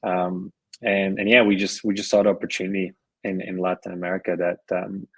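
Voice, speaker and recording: A man's voice: low-pitched, level tone, fairly quick. A middle-aged man being interviewed on a podcast, speaking in an easygoing conversational way with frequent restarts and repeated words. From Switzerland and Colombia. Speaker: podcast guest, venture investor.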